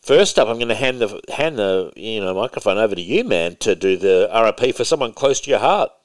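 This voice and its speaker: A man's voice: fairly low pitch, lively intonation, fairly quick. Nasal, rambling man on a podcast. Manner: rambling, talks on and on. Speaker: podcast co-host. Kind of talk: casual chat.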